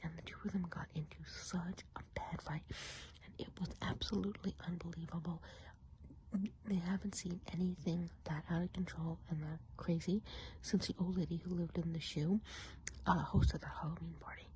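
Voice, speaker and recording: Woman, soft breathy whisper, mellow low tone, gossipy witch roleplay, unhurried ASMR, some background hiss. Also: steady tone, low-quality recording. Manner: confiding, unhurried, casual gossip. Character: gossipy friendly witch of the enchanted woods. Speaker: ASMR performer.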